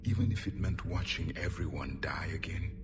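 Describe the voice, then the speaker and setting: An actor playing a grave, mournful alien warrior, last survivor of his people, speaking formally and deliberately in a video game. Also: rumbling low, animated, heavy background noise. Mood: somber, haunted. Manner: solemn, deliberate, formal phrasing. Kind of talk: cinematic video game dialogue acting.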